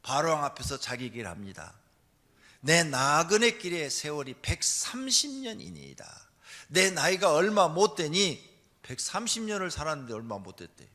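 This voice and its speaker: Male pastor, church sermon, widely swinging pitch, emphatic delivery from hushed to loud, long hall reverb. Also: sing-song, normal speed. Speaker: pastor preaching a Sunday sermon. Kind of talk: church sermon, exhortation with read-out stories. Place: large church hall during a service.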